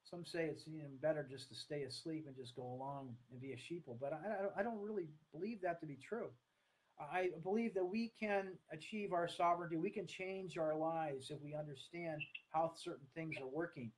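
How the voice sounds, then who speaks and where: Man, sixties, American accent, slightly high pitch, earnest, halting, deliberate, online talk to webcam. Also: low-quality recording. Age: 60s. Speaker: speaker, coach. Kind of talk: online talk to camera, partly read from notes. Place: small room at home, indoors. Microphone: webcam or laptop at desk distance.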